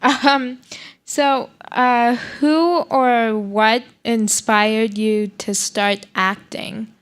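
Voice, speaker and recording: Slow, smooth-voiced woman host. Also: on the high side, fairly even intonation. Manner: slow, hesitant, with fillers. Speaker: interview host. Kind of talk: casual interview questions.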